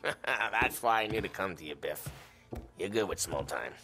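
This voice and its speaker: A man's voice: low-pitched, expressive, moderate pace, pushed and bright, faint music behind, heavily acted. A man playing a brash, grumbling mob enforcer in a video game, with a cocky, needling way of talking. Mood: casual, needling. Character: brash, grumbling, wisecracking male mobster enforcer. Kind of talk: dramatic video game cutscene acting.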